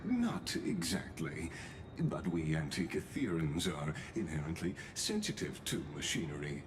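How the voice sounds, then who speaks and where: A man voicing a courteous, enigmatic scholar in a video game, speaking in a formal, deferential and measured manner. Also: very deep voice, very animated, noisy background, exaggerated voice. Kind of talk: scripted video game voice acting.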